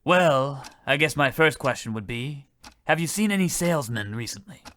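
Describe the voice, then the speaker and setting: An actor playing a blundering, self-important, freeloading cop in an old-time radio comedy, rambling in a folksy, oblivious way. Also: male voice, medium pitch, expressive, moderate pace, pushed and bright. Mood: breezy.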